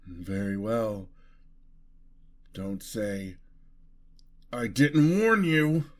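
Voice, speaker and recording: A man's voice: on the low side, sing-song, heavily acted. A man voicing a bloodthirsty, seductive vampire in an ASMR fantasy roleplay, savouring his words with theatrical menace. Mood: enraged, threatening. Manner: slow, savouring, breathy menace.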